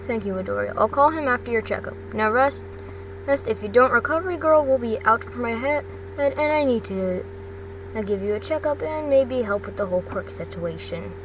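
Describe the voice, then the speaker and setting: Female reader as male schoolteacher, storybook dialogue, exasperated but caring, halting, distant noisy recording. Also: a little high, lively intonation, natural voice. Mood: concerned, matter-of-fact. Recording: distant mic, noisy background hum.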